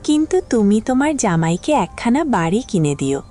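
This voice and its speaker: A woman's voice: on the high side, sing-song, normal speed, mellow, soft background music. An actress playing a shrewd, practical mother of the groom with a wry tone.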